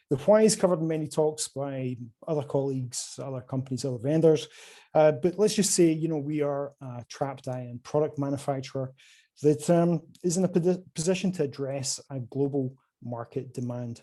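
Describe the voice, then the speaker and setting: A Scottish man presents at a conference over a video call, his voice mellow and soft-edged. He talks with frequent filler sounds and restarts, and his level rises and drops through each phrase. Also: a little high. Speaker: conference speaker from a quantum computing company.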